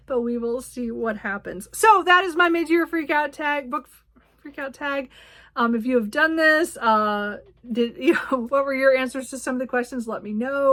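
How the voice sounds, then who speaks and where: Casting: female book vlogger, higher-pitched voice, informal and chatty, effusive and giggly, wandering delivery. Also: fairly bright tone. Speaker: book reviewer and vlog host. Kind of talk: casual, chatty book talk to camera.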